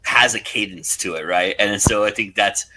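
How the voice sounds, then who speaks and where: A man's voice: lowish voice, moderate pace, background noise. A man hosting a casual interview, feeling his way through his wording. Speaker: interview host.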